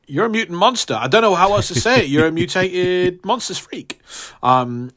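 A man's voice: medium pitch, fairly bright tone. Emphatic male podcast host. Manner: mock-grandiose, emphatic. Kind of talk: casual scripted promo talk to listeners.

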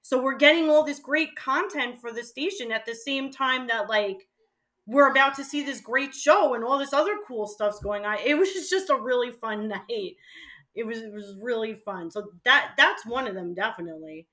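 A woman's voice: on the high side, normal speed. A middle-aged woman reminiscing casually and emphatically as an interview guest, peppering her sentences with "like". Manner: animated, emphatic, peppers speech with "like". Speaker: interview guest, former college radio host.

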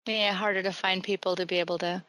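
Encouraging woman interviewer, mid-pitched. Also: level tone, fast. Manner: encouraging, asks open questions, gives short warm backchannels. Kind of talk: casual interview.

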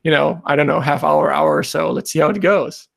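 A man's voice: on the high side, steady tone, brisk, gravelly, a bit bright. A man from Germany hosting a podcast in casual remote chat, upbeat and rambling, laughing easily. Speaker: podcast host. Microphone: remote recording setup.

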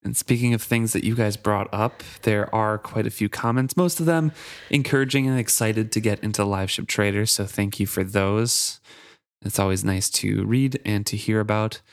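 Steady podcast co-host reading listener mail. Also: mid-range voice, normal speed. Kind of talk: casual book podcast chat.

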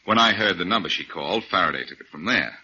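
An actor playing a confident, wisecracking amateur detective in a breezy, wry 1940s radio acting style. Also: male voice, deep voice, lively intonation, quick pace, pushed and bright, slightly rough, heavily acted. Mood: wry, playful. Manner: breezy, self-assured, wry. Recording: muffled 1940s radio recording.

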